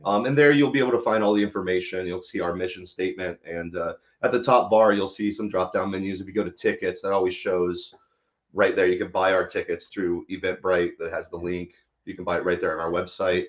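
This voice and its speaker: A man's voice: low-pitched, steady tone, brisk. A man speaking casually as a theater company guest in an interview, heard through dull live stream audio.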